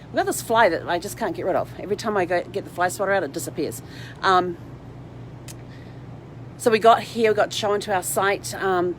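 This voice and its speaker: Low-voiced woman chatting over background noise. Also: low-quality recording. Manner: chatty, informal, talks to her dog in asides. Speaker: vlog host. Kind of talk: casual rambling livestream chat. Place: inside a parked RV.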